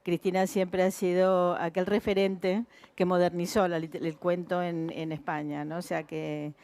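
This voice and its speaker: A woman's voice: lowish voice, flat delivery, unhurried, darkish tone. An older woman writer speaking on a festival panel, thoughtful, with many fillers and tag questions. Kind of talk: panel discussion. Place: festival stage.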